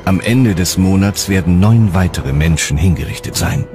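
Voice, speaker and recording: A man with a low-pitched voice narrates a documentary. His delivery is scripted and dramatic, set over clearly audible music. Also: normal speed.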